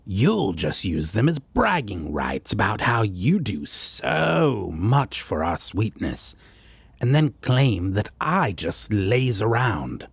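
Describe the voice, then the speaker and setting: Guy doing a sarcastic devil roommate, super husky, swings up and down, slow and teasing, audio roleplay. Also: big pitch swings, no echo, heavily acted. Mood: sardonic, taunting. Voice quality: very deep, husky rasp. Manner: taunting, drawling, playfully mocking. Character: teasing, sardonic male devil roommate, doting on sweetheart. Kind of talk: romantic comfort audio roleplay acting.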